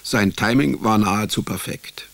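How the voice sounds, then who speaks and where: A man's voice: lowish voice, normal speed, creaky, a bit bright, dry recording. A man playing a wry private detective who narrates his own case in a radio crime play with dry irony. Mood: sardonic. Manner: dry, ironic.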